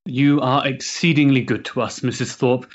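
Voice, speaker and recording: An actor playing an earnest, devoted young Victorian gentleman in a period stage drama, courteous and solicitous. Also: mid-range voice, fairly even intonation, normal speed, dull audio, natural voice. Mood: apologetic, courteous.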